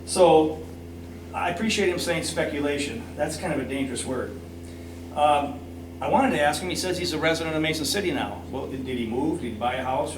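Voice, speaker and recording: A man giving impassioned, emphatic public comment, repeating points for stress. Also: mid-range voice. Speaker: citizen speaker at a public council meeting. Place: indoor council meeting room. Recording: echoey meeting room, distant mic, noisy hum.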